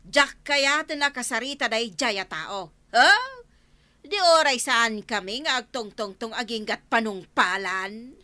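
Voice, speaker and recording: A woman's voice: fairly high pitch, measured pace, bright, forceful tone, dry recording. A woman playing an aggrieved, grudge-holding village woman in an Ilocano Filipino comedy drama, full of indignant complaint.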